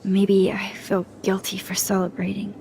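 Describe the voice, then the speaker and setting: A woman's voice: medium pitch, monotone, normal speed, creaky, darkish tone, slight background noise, natural voice, rough recording. Shy, understated young woman student played by an actress. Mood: subdued, earnest. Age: young adult. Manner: hesitant, understated. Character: shy, self-doubting, wry young female photography student. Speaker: photography student character. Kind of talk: naturalistic video game dialogue.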